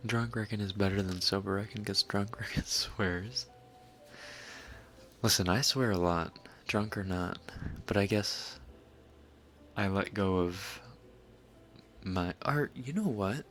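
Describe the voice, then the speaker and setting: Soft-spoken, slow-talking man streamer. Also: on the low side. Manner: soft-spoken, laid-back, swears casually. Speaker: ASMR streamer. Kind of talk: casual late-night live stream chat.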